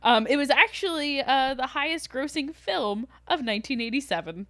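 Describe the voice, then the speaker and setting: A woman's voice: high voice, lively intonation, moderate pace, sharp tone, dry recording. Woman podcaster chatting, very dry recording. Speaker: podcast co-host. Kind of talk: casual chat, telling film legends.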